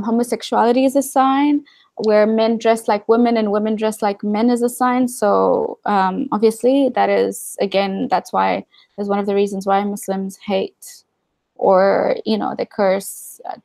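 A woman's voice: medium pitch, steady tone, unhurried, gravelly, a little mellow, dry recording. Wry young woman on a video call. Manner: candid, wry, many fillers and asides. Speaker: guest. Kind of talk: casual video-call interview. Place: living room at home. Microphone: video call webcam.

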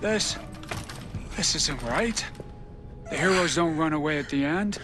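A man's voice: a little high, measured pace, over music, close to an everyday voice. A man playing an anxious, idealistic survivor in a video game, speaking with earnest emotion. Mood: troubled idealism.

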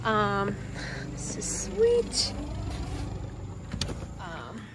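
A middle-aged woman chatting casually and excitedly as she films herself outdoors at a house site with a handheld phone. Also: medium pitch, drawn-out words, background noise. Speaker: vlogger renovating a farmhouse. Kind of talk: casual walkthrough chat. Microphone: phone held at arm's length.

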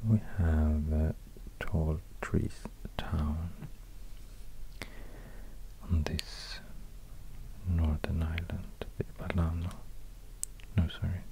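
A man with a Swedish accent speaking in soft ASMR whispers, halting and murmuring as he goes. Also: a bass voice, little pitch movement, creaky, very noisy. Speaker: ASMR video host. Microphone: close binaural microphone.

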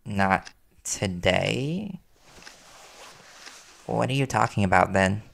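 A man playing a worried reporter boyfriend in an intimate audio roleplay, earnest and halting as he gently confronts his partner. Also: fairly low pitch, lively intonation, creaky, heavily acted. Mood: concerned, disappointed.

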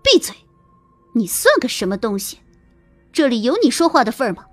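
A woman voicing a haughty, menacing young concubine in an audio drama, sneering and scolding with drawn-out threats. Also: fairly high pitch, very animated, soft background music. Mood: furious. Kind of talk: audio drama character acting.

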